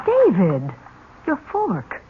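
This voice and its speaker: High-voiced, prodding, anxious woman. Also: wildly swooping pitch, very slow, slight background noise, exaggerated voice. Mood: curious. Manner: dramatic, questioning. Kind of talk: 1970s radio drama acting. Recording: tinny old radio broadcast recording.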